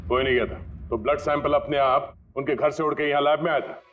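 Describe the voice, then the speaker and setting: A man's voice: on the high side, steady tone, brisk, phone-quality sound, over loud music, lightly acted. Stern, sarcastic male police detective, played by an actor. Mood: suspicious, pressing. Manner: pointed rhetorical questioning. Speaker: actor in a TV crime drama. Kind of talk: Hindi police procedural dialogue.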